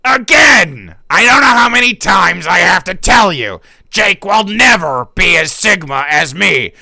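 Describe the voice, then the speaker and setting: A man voicing a cocky chat member who shouts boasts in a high, strained voice. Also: animated, a little slow, vocal fry, no room sound, full character voice, low-quality recording. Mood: boastful.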